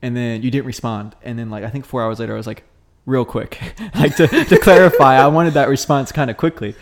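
A man with a Midwestern US accent chats on a podcast at an ordinary middle pitch. He rambles through his stories with plenty of fillers and sounds amused by himself. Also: fairly quick. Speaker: podcast co-host. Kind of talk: casual chat.

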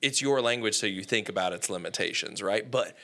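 Bright-voiced man, animated and chatty. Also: on the low side, fairly quick. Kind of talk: casual conversation.

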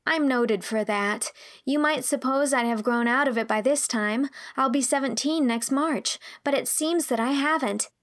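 An earnest teenage storybook heroine, voiced by a woman reader, with a fairly high voice. She talks politely and impulsively, as if pouring out a confession. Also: moderate pace, a bit bright. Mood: mournful, apologetic. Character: earnest, impulsive sixteen-year-old girl, contrite and chatty. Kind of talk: audiobook character dialogue.

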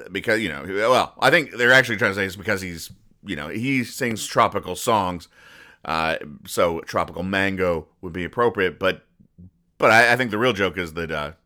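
A man hosting a podcast in a casual, rambling commentary style, explaining jokes with deadpan mock-earnestness. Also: low voice. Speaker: podcast host.

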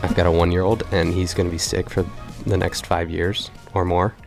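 A man with a very deep voice, raspy from a cough he can't shake. He co-hosts a podcast in laid-back, chatty conversation. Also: level tone, normal speed, music in the background. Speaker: podcast co-host. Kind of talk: casual podcast chat.

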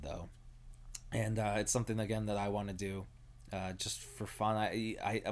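Young man, lowish voice, mumbled words, slack jaw, rambling solo podcast host, frequent ums. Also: a bit bright. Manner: mumbled, barely moves his jaw, unhurried ramble; frequent ums and self-corrections. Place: at home with his cats.

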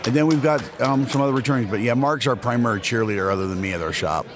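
A man's voice: mid-range voice, fast, background noise. A man in his fifties, a store owner chatting casually in an interview, rambling and repeating words as he starts sentences. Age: 50s. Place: convention hall. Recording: busy convention crowd behind.

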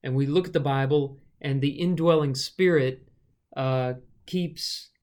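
A man's voice: mid-range voice, unhurried, darkish tone. A man co-hosting a casual Bible discussion, thoughtfully explaining scripture with a touch of self-deprecating humor. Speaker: Bible study co-host.

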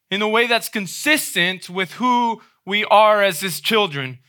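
A man preaching a sermon in a conversational way, often checking in with a quick "right?". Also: high voice, bright, forceful tone. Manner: conversational, frequent "right?" tag questions.

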